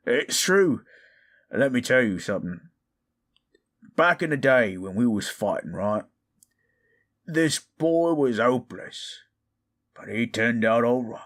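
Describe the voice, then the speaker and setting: A man voicing a gruff, good-humoured male orc veteran in improvised fantasy audio, blunt, colloquial and teasing. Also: medium pitch, animated, takes it slowly, long pauses, fairly bright tone. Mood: fond, reassuring.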